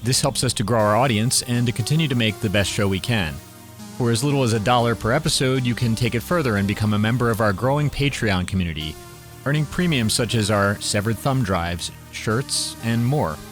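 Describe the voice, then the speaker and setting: A man's voice: mid-range voice, fairly quick. A man reading a scripted promotional announcement for a podcast. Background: faint music.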